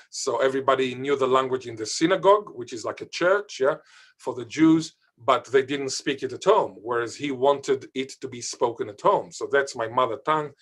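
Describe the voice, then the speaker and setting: Man, Hebrew speaker, seminar lecturer, video call, emphatic, hesitant fillers. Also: medium pitch. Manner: lively and emphatic, with frequent pauses and filler sounds. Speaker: guest lecturer on language revival. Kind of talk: academic seminar talk. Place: home or office, far from the audience.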